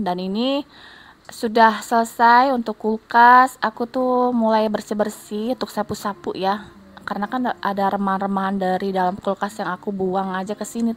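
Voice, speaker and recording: A woman with a stuffy nose from a cold, her voice nasal and plugged-up, in a middle-pitched tone. She talks chattily to her viewers, stringing her sentences along with soft fillers. Faint music plays underneath. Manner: chatty, keeps addressing viewers, tags lines with soft fillers. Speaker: homemaker vlogger. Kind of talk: casual vlog voice-over. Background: faint background music.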